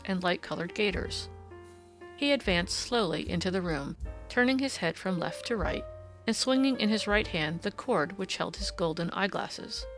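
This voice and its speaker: A woman's voice: medium pitch, a bit bright. A woman reading classic fiction aloud as scripted audiobook narration.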